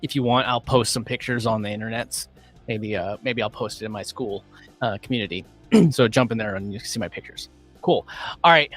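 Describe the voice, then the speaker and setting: A man hosting a casual livestream, upbeat and rambling with many fillers, his voice going a little hoarse. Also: mid-range voice, fairly bright tone. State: losing his voice. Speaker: livestream host.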